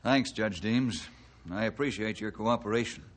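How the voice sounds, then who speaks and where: An actor playing a firm, upright forest ranger, earnest and assertive, in a 1950s radio drama. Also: male voice, on the low side, normal speed, natural voice.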